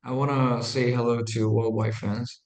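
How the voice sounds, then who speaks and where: A young adult man with a Korean accent, a singer and guest answering interview questions in halting English with short replies. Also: medium pitch, level tone, darkish tone, reverberant. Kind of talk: casual interview chat. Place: radio studio.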